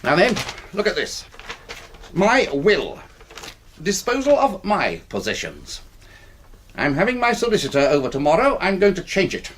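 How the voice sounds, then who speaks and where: A man's voice: high voice, huge pitch swings, normal speed, long pauses, fairly bright tone, a little hiss or hum, exaggerated voice. An older man with a British accent, playing an irascible, bereaved, pompous retired army colonel in a radio drama. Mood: pompous, bitter. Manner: pompous, blustering, sardonic.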